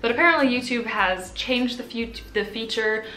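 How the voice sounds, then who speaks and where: Soft-spoken woman in an echoey room. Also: on the high side, moderate pace. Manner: soft-spoken, chatty with fillers. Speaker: YouTuber. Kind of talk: casual talk to camera. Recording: roomy echo.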